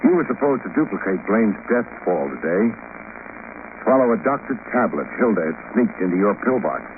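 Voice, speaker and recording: An actor with an American accent playing a wry, hardboiled private detective in a sardonic, clipped old radio-drama style. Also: male voice, on the low side, sing-song, normal speed. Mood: explaining. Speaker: lead actor, detective narrator. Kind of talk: 1940s-50s American radio detective acting. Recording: noisy, narrow-band old radio recording.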